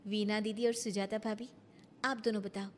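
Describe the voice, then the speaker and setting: A woman's voice: medium pitch, level tone, normal speed, in a large hall, a little hiss or hum, lightly acted. A woman voicing an earnest, encouraging young village daughter-in-law in an eager storybook style.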